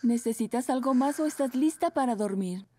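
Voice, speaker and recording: A woman's voice: a little high, moderate pace, dark tone, slight background noise, close to an everyday voice. A woman playing a kindly, patient mother panda in a preschool cartoon. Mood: gentle, soothing. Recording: music behind.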